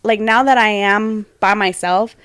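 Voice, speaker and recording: A woman's voice: mid-range voice, fairly even intonation, a little slow, a bit bright, smooth, no room sound. A woman in her thirties co-hosting a podcast, talking candidly in casual chat with a rambling way of speaking. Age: 30s. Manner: candid, rambling, laughs often. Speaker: podcast co-host.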